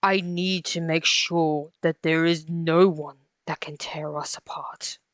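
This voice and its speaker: A woman's voice: deep voice, fairly even intonation, takes it slowly, dry recording. A woman playing a tender, resolute male monster in an earnest, solemn amateur audio romance drama. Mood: apologetic, resolute.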